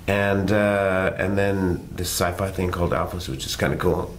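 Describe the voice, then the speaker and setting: A middle-aged man from Canada speaks in a very low register. He rambles along in a loose, self-deprecating way as a guest in a casual interview, with a faint hiss underneath. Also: steady tone, normal speed. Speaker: guest actor.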